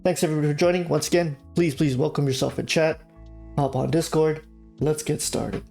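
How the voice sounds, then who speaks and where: A man hosting a casual livestream art class, talking informally and haltingly, with a slightly sore throat from a cold. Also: on the high side, steady tone, creaky, a little mellow, faint music behind.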